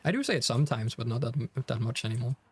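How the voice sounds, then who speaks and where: A man's voice: mid-range voice, lively intonation, very fast, slightly rough. A young man with a Danish accent, casually chatting with viewers on a game stream, full of fillers and restarts. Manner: chatty, many fillers and restarts, reads chat aloud. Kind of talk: casual live stream chat.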